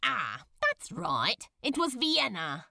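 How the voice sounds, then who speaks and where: A woman's voice: fairly high pitch, huge pitch swings, takes it slowly, creaky, pushed and bright, no room sound, studio quality, full character voice. Woman as a drawling, swooping sardonic demon man. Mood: musing. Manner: drawling, flippant, teasing. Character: sardonic, breezy male demon, casually concerned.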